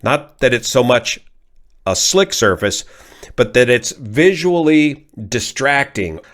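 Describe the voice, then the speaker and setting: An American man, a pilot from California, giving an informal analysis in a measured, methodical, technical way. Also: mid-range voice, very animated, fairly bright tone. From California, United States. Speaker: pilot and aviation commentator. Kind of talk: informal explanatory accident analysis.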